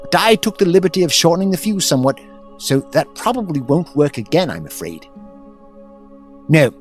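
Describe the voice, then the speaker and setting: A man plays a talkative, clever adventurer hero in an audio drama. His voice is fairly high and swoops constantly, wry and breezily polite, over faint music. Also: sing-song, moderate pace. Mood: defiant, wry. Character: flippant, talkative, clever male time-travelling adventurer hero.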